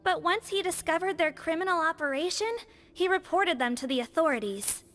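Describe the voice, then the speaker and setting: High polite nonhuman officer. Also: female voice, animated, a little fast, a bit bright. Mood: informative. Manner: polite, explanatory. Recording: game audio with faint music.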